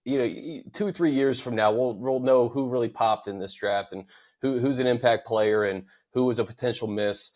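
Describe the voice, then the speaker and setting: Middle-aged male host: average pitch, thoughtful, stumbles and restarts as he reasons. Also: normal speed, a little mellow. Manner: thoughtful, many restarts and repeated words. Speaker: podcast host. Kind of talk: casual sports chat.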